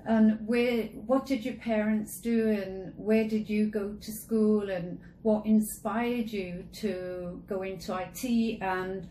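A woman's voice: medium pitch, fairly even intonation, slow, few pauses, dark tone. A woman, chatting casually as she conducts an interview. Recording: roomy echo, faint background noise.

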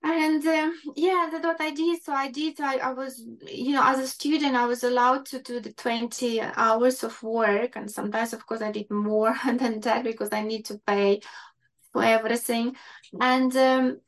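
A woman's voice: fairly high pitch, steady tone, normal speed. A woman in her fifties from Ukraine with a Ukrainian accent, a doctor telling her life story in an interview with many fillers and restarts. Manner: hesitant, many fillers and restarts, non-native grammar. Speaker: guest doctor.

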